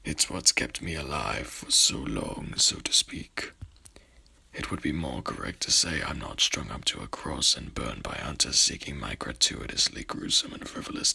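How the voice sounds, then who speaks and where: A courtly, pompous monster horseman, played by a man, murmurs in an ASMR roleplay. His voice is extremely low and heavily gravelly, breathy and half-whispered, with sharp sibilant edges. He phrases everything in formal, archaic, wordy sentences. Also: heavily acted. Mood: courteous, haughty.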